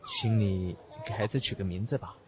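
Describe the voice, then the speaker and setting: A man playing a warm, grateful new father in an audio drama. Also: fairly low pitch, huge pitch swings, moderate pace, crowd noise. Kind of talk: Chinese audio drama acting. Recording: muffled recording under loud music.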